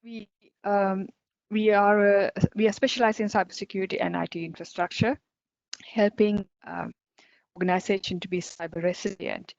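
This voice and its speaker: A woman's voice: medium pitch, little pitch movement, normal speed, gravelly, darkish tone, no echo. A woman in her forties with a Sri Lankan accent, originally from Sri Lanka, speaking as a guest at an online conference in a chatty, upbeat way, with lots of fillers and small laughs. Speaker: guest speaker, cybersecurity company director. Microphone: video call. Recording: dull lo-fi video call audio.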